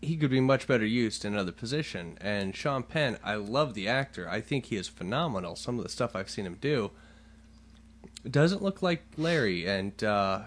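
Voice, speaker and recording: Guy on a podcast, kinda low voice, loud and jokey, swears a lot. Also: animated, normal speed. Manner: louder, leans into the mic, joking and profane. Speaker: podcast co-host. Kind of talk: casual chat.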